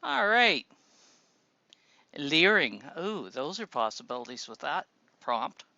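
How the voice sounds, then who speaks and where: A man hosting a casual voice-chat show, relaxed and helpful, talking through people's posts. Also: a little high, takes it slowly, gravelly, no echo, lo-fi sound. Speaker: show host.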